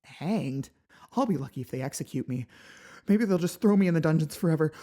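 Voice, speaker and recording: A woman's voice: deep voice, expressive, brisk, mellow. A woman voicing a hot-tempered young woman fighter in a fantasy audiobook, panicked and furious, with a shaky voice that cracks. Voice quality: shaky, cracking when upset. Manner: frantic, dramatic outbursts. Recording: background music behind the voice.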